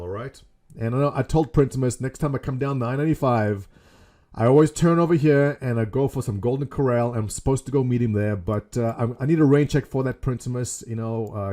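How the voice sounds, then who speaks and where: Middle-aged South African man, mellow tone, jokey self-mocking webcam vlogger at a desk mic. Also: fairly low pitch. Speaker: gaming community leader. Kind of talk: casual webcam vlog. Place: small home room indoors.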